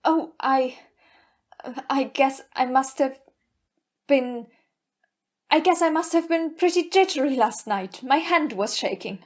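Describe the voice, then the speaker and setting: A woman's voice: high voice, slow, pauses often. A woman playing a nervous, defensive young lady in an audio play, hesitant when questioned, on a muffled recording. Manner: hesitant, faltering under questioning.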